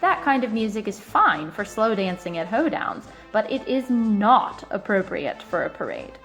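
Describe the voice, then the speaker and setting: A woman reading a children's picture book aloud in an expressive, emphatic way, stretching out key words. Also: medium pitch, normal speed, soft background music, poor audio. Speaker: storybook reader.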